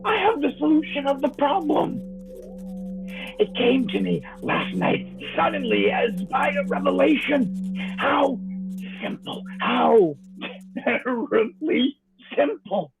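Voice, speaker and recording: A male actor playing a haunted, sleepless man who fears for his sanity, in a halting, theatrical old-time radio drama monologue. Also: very high-pitched, huge pitch swings, vocal fry, full character voice. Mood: obsessive, awed. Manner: theatrical, halting, archaic literary diction. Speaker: actor in a live audio drama. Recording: thin phone-like audio with faint music.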